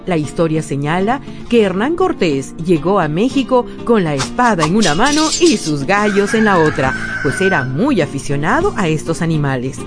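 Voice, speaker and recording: A woman's voice: fairly low pitch, sing-song, normal speed, few pauses. A woman reading scripted documentary narration as a radio narrator. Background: guitar music.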